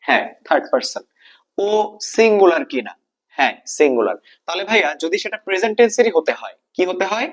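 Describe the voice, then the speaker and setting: A man's voice: sits high, animated, brisk. A man with a Bangladeshi accent teaching an exam-prep grammar lesson, repeating key points and asking checking questions.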